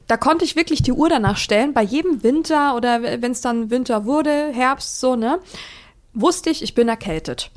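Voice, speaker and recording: Casting note: woman, slightly high voice, agreeable chatty presenter, stammering restarts. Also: normal speed. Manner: chatty, repeats words, agreeable. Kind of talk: casual chat.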